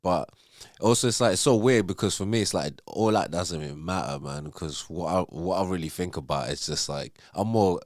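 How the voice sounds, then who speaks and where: A young man from Zimbabwe speaks in a very low, deep voice. He rambles easily as a podcast guest, full of fillers and asides. The recording is close and dry, with no room sound. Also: moderate pace, no room sound. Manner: relaxed, rambling, full of fillers like "you know what I mean". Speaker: artist, guest. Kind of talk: casual podcast interview.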